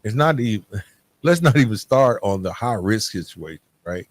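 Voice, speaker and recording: A man's voice: lowish voice, expressive, normal speed. Candid man hosting a solo podcast. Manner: candid, informal, punctuates points with "right?". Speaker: podcast host. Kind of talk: solo podcast talk on recovery, half-scripted and conversational.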